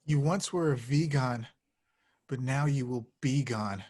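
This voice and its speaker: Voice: mid-range voice, unhurried, darkish tone, slightly rough. An actor playing an awkward, sardonic young slacker hero in a casual, wry table read. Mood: cocky. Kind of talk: amateur screenplay table read.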